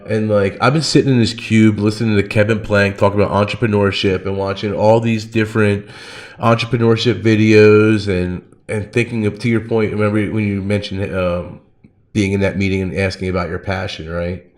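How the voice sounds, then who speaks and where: Guy hosting a podcast, lowish voice, telling stories real casual and slangy. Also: little pitch movement, moderate pace. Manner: informal, slangy, frequent 'like'. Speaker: podcast host. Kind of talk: casual chat, personal storytelling.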